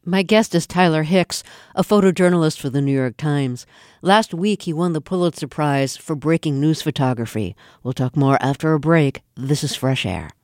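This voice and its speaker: A man hosting a radio interview, introducing his guest and asking measured, clear questions. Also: on the high side, a little mellow, no echo, studio quality. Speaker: radio host.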